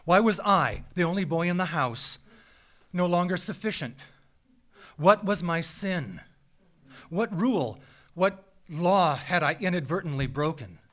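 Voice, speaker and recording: An American man reads a scripted personal story live on stage, with a measured, theatrical delivery and deliberate dramatic pauses. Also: high voice, lively intonation, fairly bright tone. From small town in Minnesota, United States. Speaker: writer reading a personal essay. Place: small theatre, live event.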